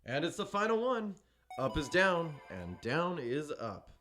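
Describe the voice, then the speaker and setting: A man voicing a friendly veteran game director in expressive, conversational scripted narration of advice. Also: a little high, measured pace. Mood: playful. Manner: expressive, acts out short exclamations and quotes. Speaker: narrator dubbing an educational video series. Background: background music.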